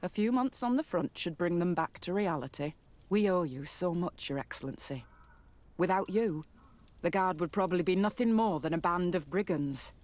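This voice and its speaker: A woman's voice: lowish voice, lively intonation, moderate pace, darkish tone, lightly acted. An actress playing a firm, formal female guard commander in a video game.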